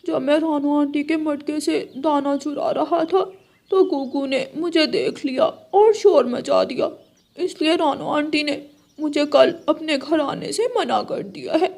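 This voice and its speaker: Woman voicing a whiny little boy crow, high-pitched. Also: a little slow, a little mellow. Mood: confessing. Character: young boy crow, sneaky pupil, whiny when caught.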